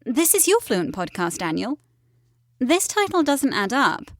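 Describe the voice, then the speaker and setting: A man putting on the voice of a bright, sing-song woman announcer who interrupts herself. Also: very high-pitched, big pitch swings, unhurried, dry recording, studio quality, pitched above the performer's own voice, heavily acted. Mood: chirpy.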